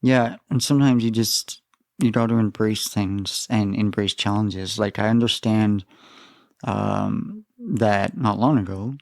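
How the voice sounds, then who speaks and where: A man hosting a podcast, relaxed and affirming in casual conversation. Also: lowish voice, a little slow, gravelly, no room sound, clean studio sound. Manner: relaxed, affirming, many mm-hmms. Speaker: podcast host.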